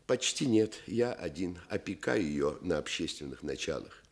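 An actor playing a scheming, fawning restaurant director in a Soviet radio play, wordy, folksy and wheedling. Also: male voice, sits low, moderate pace, gravelly. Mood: eager, ingratiating. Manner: obsequious, wheedling, wordy and excitable.

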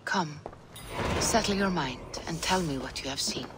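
An actress playing a composed, probing mystical seeress who guides others, in a video game. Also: female voice, deep voice, animated, moderate pace, very noisy. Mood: calm, intrigued. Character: calm, mystical Norse seeress, attentive guide. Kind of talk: cinematic video game acting.

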